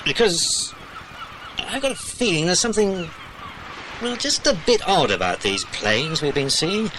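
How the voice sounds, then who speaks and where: An actor with a British accent playing a kindly, watchful middle-aged man guarding children in an audio drama, measured and avuncular. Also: sits high, sing-song. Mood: serious. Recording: noisy outdoor sound effects behind.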